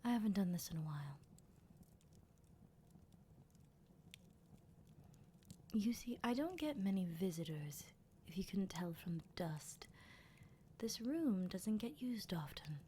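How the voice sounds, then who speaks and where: A woman playing a teasing, sardonic female vampire captor in an ASMR roleplay, speaking in an unhurried, breathy half-whisper. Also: mid-range voice, expressive, dark tone, slight background noise, close to an everyday voice. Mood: teasing menace. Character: playful, menacing female vampire captor, decades old.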